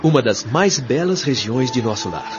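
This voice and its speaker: A friendly guide played by a male actor with a Brazilian accent, encouraging and explanatory, with very animated intonation. He speaks in an audio drama over soft music, in slightly muffled sound. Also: mid-range voice, normal speed, natural voice. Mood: reassuring, friendly. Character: friendly, reassuring male guide showing a newcomer around. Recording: dull lo-fi audio with music underneath.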